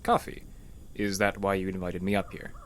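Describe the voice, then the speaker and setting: An actor in an audio drama playing a composed, probing male psychotherapist with a measured, dry, clinical manner. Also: sits low, level tone, slight background noise, lightly acted. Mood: curious, dry.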